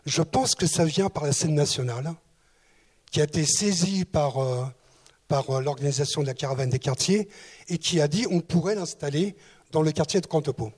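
A man's voice: a little high, normal speed, darkish tone, slightly rough. A man who once led a town's youth service, telling his story with many hesitant fillers, noting his accent is not southwestern. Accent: not southwestern French. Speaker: former municipal youth director. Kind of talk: recollections before an audience.

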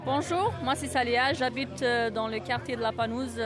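A woman's voice: on the high side, normal speed. A woman from Kabylie whose first language is Berber, answering interview questions with many hesitations at an outdoor neighbourhood party. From Kabylie, Algeria. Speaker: resident and event volunteer. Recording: outdoor party with music and crowd.

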